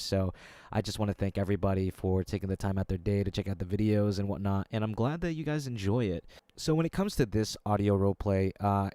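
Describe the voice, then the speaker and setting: A man's voice: lowish voice, dark tone, smooth, dry recording. A man speaking informally and appreciatively to his subscribers as the host of a voice-acting channel. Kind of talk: casual intro addressed to subscribers.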